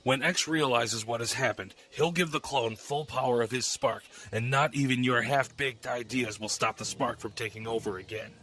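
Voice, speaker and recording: Man voicing a sharp-toned, surly shark robot. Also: medium pitch, normal speed, hardly any pauses. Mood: contemptuous. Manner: scornful, insulting. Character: surly, threatening male shark robot warrior. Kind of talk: animated-series radio drama acting.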